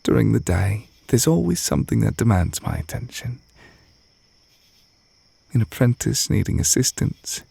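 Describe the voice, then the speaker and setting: A man acting a gentle, adoring witch boyfriend in an intimate ASMR roleplay, soft-spoken and tender with a light teasing manner. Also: lowish voice, very animated, normal speed, gravelly, pauses often, breathy edge, no room sound, heavily acted. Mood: wistful, adoring.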